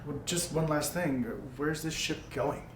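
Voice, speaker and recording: A man's voice: on the high side, fairly even intonation, unhurried. Man roleplaying in an echoey room. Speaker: tabletop game player. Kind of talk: in-character casual roleplay. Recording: roomy echo with background noise.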